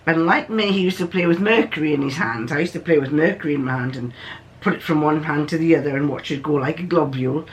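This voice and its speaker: An older person hosts in a deep voice. The talk is casual and rambling, drifting off into asides and coming back in a plain, matter-of-fact way. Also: fast talker. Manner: chatty, digressive, matter-of-fact. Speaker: video host.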